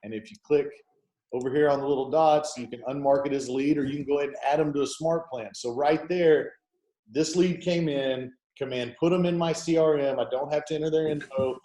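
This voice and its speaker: A man's voice: a little high, steady tone, fairly quick, warm tone. A man, hosting a real estate team video meeting in an upbeat, chatty, folksy way. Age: 40s. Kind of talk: casual software walkthrough on a video call. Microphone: video call. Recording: echoey room over a video call.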